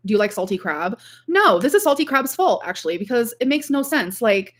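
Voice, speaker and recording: A woman's voice: fairly high pitch, big pitch swings, fairly quick, fairly bright tone. Rambling casual woman livestreamer. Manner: rambling, frequent "like". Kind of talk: casual livestream chat, reading out viewer comments.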